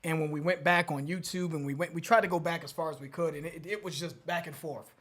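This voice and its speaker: A man in his forties, slightly hoarse, co-hosting a music reaction show in casual chat at a desk mic, animated and quick to laugh. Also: fairly high pitch. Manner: animated, laughing, bursts of loud excitement. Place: dark home studio with city backdrop. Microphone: desk mic on boom arm.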